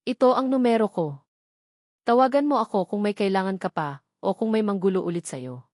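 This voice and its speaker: A man's voice: high voice, lively intonation, a little fast, a little mellow, no echo, clean studio sound. A woman voicing a guarded, protective wealthy man in an audio drama love story, speaking tersely and reassuringly.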